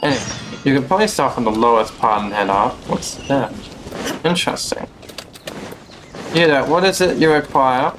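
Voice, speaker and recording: A young man giving casual, unhurried gameplay commentary, musing to himself as he plays. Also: medium pitch, animated. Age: young adult. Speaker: game streamer. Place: small room indoors at home. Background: game music.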